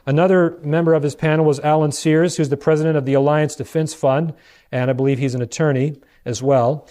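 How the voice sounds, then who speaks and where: An American male preacher speaks in a sermon-like lecture style. His voice sits a little high for a man and has a distinctly mellow, rounded tone. He lays out his points deliberately, explaining as he goes and addressing his listeners with rhetorical questions. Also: fairly even intonation. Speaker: preacher, church elder. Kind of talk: sermon-like Bible study lecture.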